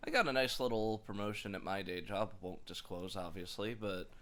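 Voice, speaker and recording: A man's voice: on the low side, normal speed, fairly bright tone. A man co-hosting a podcast with casual, conversational remarks, recorded in an echoey room at home. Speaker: podcast co-host. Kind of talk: casual chat.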